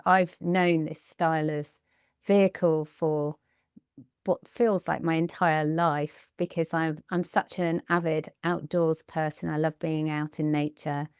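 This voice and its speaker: A woman's voice: low voice, darkish tone, clear, resonant tone, no room sound, studio quality. A woman hosting an interview, asking questions with enthusiasm. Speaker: podcast host.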